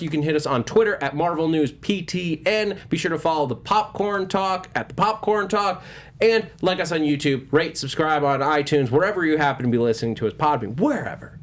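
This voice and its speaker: A man's voice: fairly high pitch, lively intonation, normal speed. A man hosting a movie news panel show in a casual, informal chat style.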